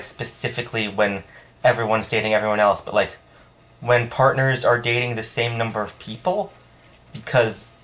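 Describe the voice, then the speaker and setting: A man giving casual, unscripted reaction commentary as a video host, in a halting, rambling way with thoughts that trail off. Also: mid-range voice, fairly bright tone.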